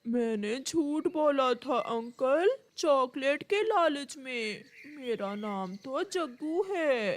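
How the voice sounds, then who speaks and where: A woman's voice: high-pitched, lively intonation, slow, hardly any pauses. A woman voicing a greedy, naive little boy crow, childlike and earnest, in a children's cartoon story. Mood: sheepish.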